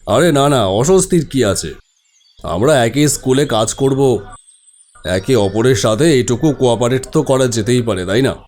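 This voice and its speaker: A man playing a courteous male village headmaster in an animated story, reassuring and explaining things helpfully. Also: medium pitch, a little slow, voice pushed down, lightly acted. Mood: courteous, obliging.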